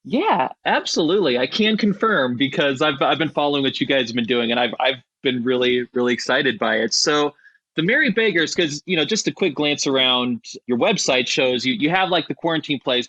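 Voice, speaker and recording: A man's voice: on the high side, lively intonation, a little fast, pushed and bright, no echo, poor audio. A man hosting a podcast, interviewing casually with enthusiastic, rambling questions. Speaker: podcast host.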